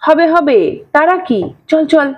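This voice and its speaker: A woman's voice: high-pitched, takes it slowly, pitched above the performer's own voice. A woman voicing an exasperated, stingy father in a storybook reading, dismissive, scolding and blustering. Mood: placating. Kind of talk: audio storybook character voice.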